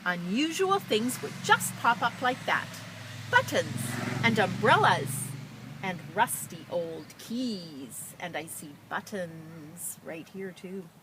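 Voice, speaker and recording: Expressive woman reading to children, noisy background. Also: lowish voice, slow. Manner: expressive, enthusiastic, addressing young children. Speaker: teacher librarian reading a picture book to children. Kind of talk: children's story read-aloud with casual introduction.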